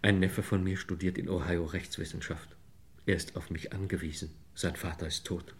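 An older clerk in deep trouble, in a vintage radio crime play. His low voice is halting and apologetic, pleading desperately as he explains himself. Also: little pitch movement. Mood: meek, resigned. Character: desperate, pleading 62-year-old male clerk confessing embezzlement. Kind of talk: mid-century German radio crime drama acting.

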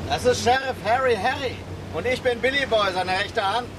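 Eager officious deputy sidekick, super high voice, noisy, radio drama. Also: male voice, moderate pace. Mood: officious, needling. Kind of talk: German radio crime drama acting.

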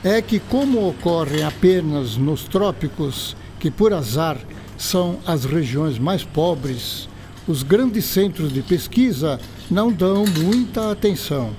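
An actor playing an earnest, courteous doctor and scientist in an educational radio drama, speaking Brazilian Portuguese with a Brazilian accent. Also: male voice, a little high, animated, slow, a little mellow, slight background noise. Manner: didactic, courteous.

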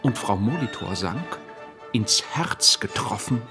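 A man's voice: fairly low pitch, animated, slow pace. A man narrating a radio drama in a formal, factual, scripted reading. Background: music.

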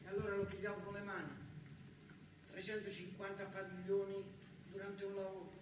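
A man's voice: high voice, completely flat delivery, fairly quick. A man with a southern Italian accent performing a colloquial, emphatic, repetitive first-person theatrical monologue. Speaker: stage actor performing a narrative monologue. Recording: very echoey, distant, noisy live hall recording.

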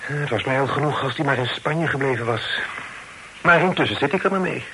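Casting: a lovelorn, troubled young gentleman for period radio drama; sharp, hesitant delivery, faint hiss. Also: mid-range voice, animated, fairly quick, poor audio. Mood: exasperated, plaintive. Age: young adult. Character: troubled young gentleman in love, earnest, wrongly suspected. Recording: hissy old radio play recording.

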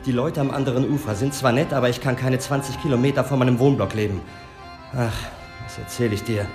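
A man's voice: mid-range voice, fairly even intonation, a little fast, close to an everyday voice. A man playing a bitter, frightened comedian in a radio drama, speaking with weary emphasis. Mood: resigned, fearful. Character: bitter, frightened male comedian, old friend of detective. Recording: roomy echo, faint music.

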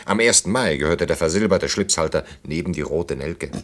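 Sardonic, deep-voiced German older man. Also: fairly quick. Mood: nostalgic. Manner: dry, mocking, reminiscing. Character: established older man, sardonic, nostalgic, needling a young woman. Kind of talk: naturalistic German radio play dialogue.

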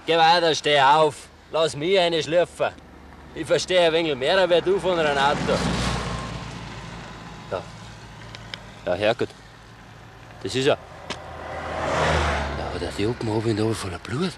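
A man's voice: fairly high pitch, lively intonation, a little slow, long pauses. An actor playing a rattled, simple village man in a 1950s Bavarian dialect radio play, given to muttering to himself and calling out. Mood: calling, friendly. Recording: 1950s radio production, outdoor noise behind.

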